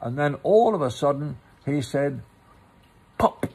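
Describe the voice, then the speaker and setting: A man reading a children's story aloud in a measured way with pauses and informal asides. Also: fairly high pitch, lively intonation, warm tone, slightly rough, low-quality recording. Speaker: storybook reader.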